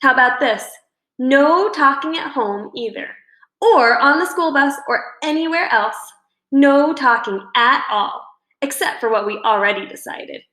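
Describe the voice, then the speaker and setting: A woman reading a children's storybook, voicing a proud, stubborn schoolgirl who talks in a sharp, scornful, bossy way. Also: high-pitched, animated, a little slow, voice pushed up, full character voice. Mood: bossy, challenging. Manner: sharp, challenging. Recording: roomy indoor echo.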